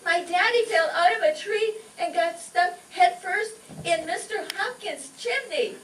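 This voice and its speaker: A woman's voice: very high voice, measured pace, a bit bright. A woman playing an eager, blurting little girl in an old-time radio comedy on stage. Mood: matter-of-fact excitement. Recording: distant, echoey live stage with noise.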